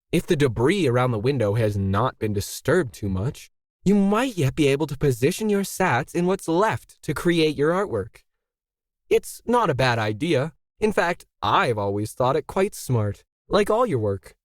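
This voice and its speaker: A man's voice: mid-range voice, no room sound, close to an everyday voice. Man as audiobook tycoon villain, smug, condescending, formal, persuasive, close dry sound. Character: scheming billionaire man, smug, coolly persuasive.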